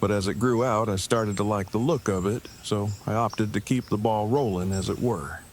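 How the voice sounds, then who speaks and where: Audio drama role: brainy, awkward male engineer voiced by a man, lowish pitch, stilted formal wording, light background noise. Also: normal speed, close to an everyday voice. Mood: stiff, measured. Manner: verbose, stilted, formal phrasing. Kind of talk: fan audio drama dialogue.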